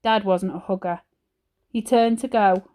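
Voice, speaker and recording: A woman's voice: medium pitch, steady tone, measured pace, warm tone. A woman narrating a children's storybook expressively, like a teacher reading aloud.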